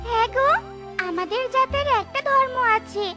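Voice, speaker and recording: A woman's voice: very high-pitched, moderate pace. A woman voicing a kindly, reassuring talking plant in a children's cartoon. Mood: pleased, explaining. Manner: gentle, reassuring. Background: children's music.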